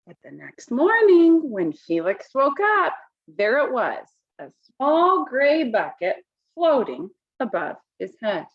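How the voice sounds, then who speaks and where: A high-voiced woman reads a picture book aloud for young children, with very lively intonation. She breaks off to explain and ask simple questions. The recording is slightly dull and lo-fi. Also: takes it slowly. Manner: expressive, asks simple questions of young listeners. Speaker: storybook reader.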